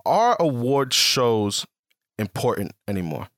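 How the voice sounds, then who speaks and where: A man in his late twenties chats on a podcast in a relaxed, slangy way, dropping ums as he thinks. His voice sits at an ordinary pitch. The studio mic sounds close and dry. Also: takes it slowly, no echo. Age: 20s. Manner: laid-back slangy talk with many ums. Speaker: podcast co-host. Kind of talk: casual chat.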